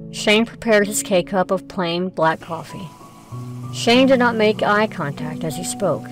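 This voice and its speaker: A woman's voice: medium pitch, unhurried, faint music behind. A woman reading scripted narration for an audio drama.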